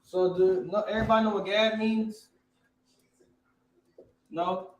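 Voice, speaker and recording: A man's voice: sits high, fairly even intonation, a little slow, reverberant, a little hiss or hum, low-quality recording. A man teaching a class, quizzing his students and repeating key words.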